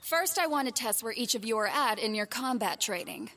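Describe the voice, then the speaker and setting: A woman's voice: a little high, lively intonation, a little fast, bright, forceful tone. An actress playing a tough but fair female knight captain in an audio drama, commanding, firm and no-nonsense. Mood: stern, commanding. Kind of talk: scripted audio drama acting.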